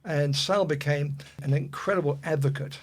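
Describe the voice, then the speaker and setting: A man's voice: on the high side, moderate pace. Older Welsh-born man reminiscing. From Wales. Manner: rambling with frequent fillers and restarts. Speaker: guest. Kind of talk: relaxed reminiscing.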